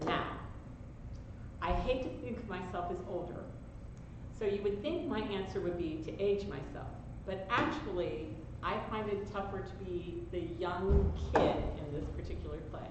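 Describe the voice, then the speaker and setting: A woman's voice: mid-range voice, moderate pace, muffled recording. A woman who acts in community theater, speaking in a reflective, candid way about a role. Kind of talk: casual cast chat. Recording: very echoey room with background noise.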